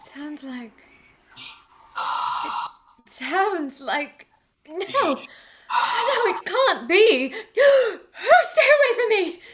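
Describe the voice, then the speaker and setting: A woman's voice: extremely high pitch, very animated, very slow, long pauses, fairly bright tone, full character voice. An actress in a radio play voicing a haunted, fearful young woman, breathless and easily frightened. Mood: terrified.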